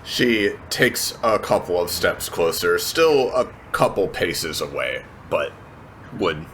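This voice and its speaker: A man with a deep voice plays a tabletop roleplay game. He narrates his character's moves in a measured, slightly hesitant way. Noticeable ambience runs underneath. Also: expressive, a bit bright, a little hiss or hum. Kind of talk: improvised third-person roleplay narration.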